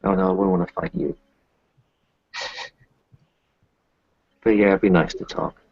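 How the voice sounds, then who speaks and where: Low-pitched, weary kinfolk doctor played by a man. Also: expressive, quick pace, vocal fry, dry recording, lo-fi sound, heavily acted. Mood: gentle, reassuring. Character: weary, kindly male kinfolk doctor who lost his children. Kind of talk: tabletop roleplay, improvised in character.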